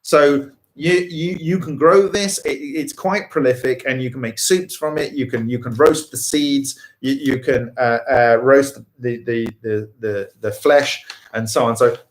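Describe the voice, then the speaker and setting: A man's voice: mid-range voice, steady tone, unhurried, hardly any pauses. A man chatting casually as host, often repeating and restarting words, emphatic when he makes a point. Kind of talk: casual chat. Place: home garden setting.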